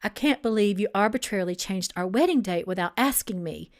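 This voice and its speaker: A woman's voice: medium pitch, expressive, fairly quick, natural voice. A woman reading a story aloud as a stern engaged man who is resentful, suspicious and firm. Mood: angry. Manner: firm, edgy, incredulous.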